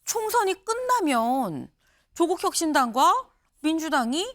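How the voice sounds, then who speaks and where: A woman's voice: high voice, unhurried. A woman anchoring a morning political talk show, moderating panelists and reading out quotes. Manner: lively, reads out quotes and hands over to panelists. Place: broadcast studio.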